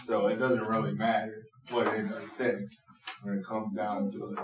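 A man's voice: on the low side, monotone, normal speed, background noise. A male student speaking bluntly and matter-of-factly in a classroom discussion. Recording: echoey classroom from far off.

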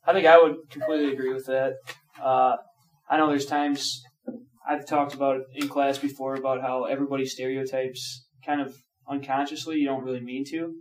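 A man's voice: mid-range voice, flat delivery, fairly quick. A male student speaking casually and agreeably in a classroom discussion. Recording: distant mic with faint noise.

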